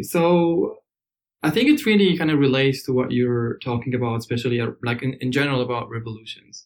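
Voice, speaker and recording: A podcast guest from Syria with a mid-pitched voice, recorded in a hotel room. He tells his story slowly and reflectively, often pausing with fillers as he searches for words. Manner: hesitant, reflective, with frequent fillers. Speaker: interview guest. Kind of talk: conversational storytelling.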